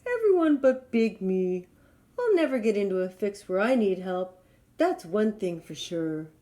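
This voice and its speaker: A woman's voice: mid-range voice, very animated, unhurried, mellow. A woman voicing a big, good-natured, self-assured male elephant in a storybook, hearty and emphatic. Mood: hearty, pleased. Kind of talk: children's storybook character voice.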